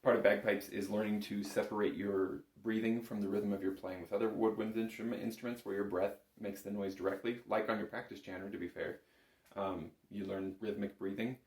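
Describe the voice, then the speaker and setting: A man's voice: fairly low pitch, flat delivery, brisk, a little mellow. A man giving a casual spoken music lesson as an instructor, in an easygoing, reassuring manner. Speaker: bagpipe instructor. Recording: echoey room.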